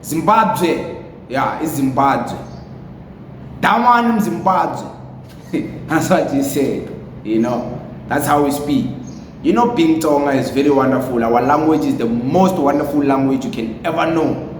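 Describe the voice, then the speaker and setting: Male commentator, South African accent, fairly high voice, casual and repetitive delivery, recorded in a very echoey space. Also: a little slow. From Limpopo, South Africa. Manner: rambling, repetitive. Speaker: commentator presenting a clip. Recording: echoey, noisy room with rumble.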